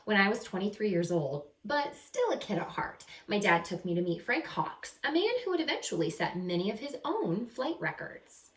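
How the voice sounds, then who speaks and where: Female teacher, expressive story-time read-aloud, animated intonation, chatty asides to kids, echoey room. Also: mid-range voice, normal speed, hardly any pauses. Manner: animated, acts out characters, stretches key words. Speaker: second-grade teacher reading aloud. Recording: roomy echo, some distance from mic.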